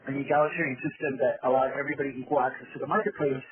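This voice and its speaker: A man's voice: medium pitch, steady tone, very fast, a little hiss or hum. A man giving an informal, rambling presentation over a conference call, often checking in with tag questions. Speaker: advocacy group chair giving a presentation. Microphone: telephone conference call. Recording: narrow tinny phone line, chatter behind.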